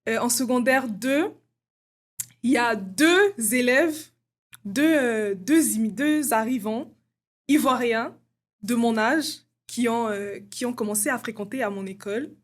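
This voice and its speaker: A woman's voice: fairly high pitch, a little slow. Brief: young woman guest from Congo, raised voice, chatty, sprinkles "genre" and hesitations. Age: young adult. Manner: casual, many fillers like "genre" and "euh". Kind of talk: casual interview conversation.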